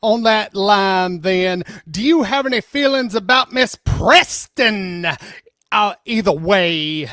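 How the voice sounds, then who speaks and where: A performer playing a sarcastic, pressing attorney who questions a witness in a pointed, deliberate way during a dramatic deposition reading. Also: mid-range voice, animated, fairly bright tone, no echo, studio quality.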